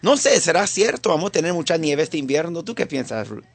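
A man's voice: fairly high pitch, sing-song, brisk, vocal fry, dry recording. A man hosting a Spanish-language radio show from the studio, chatting casually with his co-host and mixing in English words.

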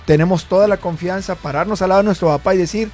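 A man's voice: high-pitched, fast talker, a little mellow. A male pastor talking on a church program, earnest and building his points with repeated words.

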